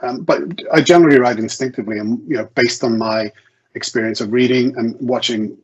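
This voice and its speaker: A man's voice: mid-range voice, fairly quick, breathy edge. A man speaking as a guest author in an interview, conversational, hesitant and often restarting his sentences as he thinks aloud. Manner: hesitant, self-correcting, stammering restarts. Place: online video event. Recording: muffled, lo-fi online call audio.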